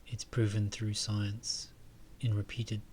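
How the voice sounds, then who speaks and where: A man leading a guided meditation and reflection in a soft, calm way, with phrases trailing into breathy murmurs. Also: fairly low pitch, slow, slight background noise.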